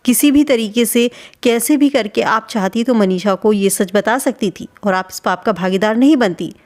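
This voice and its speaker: Higher-pitched woman giving reproachful commentary. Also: normal speed, a little mellow. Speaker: video channel host. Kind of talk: spoken commentary on vlogger gossip.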